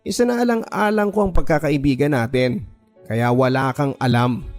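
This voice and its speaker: A man's voice: mid-range voice, moderate pace, lightly acted. A man voicing a hurt, angry young student in an emphatic, emotional radio drama style, over music.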